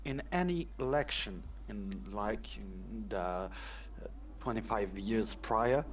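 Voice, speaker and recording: A man's voice: fairly low pitch, animated, takes it slowly, crowd noise. A man from France with a French accent, a native French speaker chatting casually on a stream, who halts often and searches for his English words. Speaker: co-streamer guest.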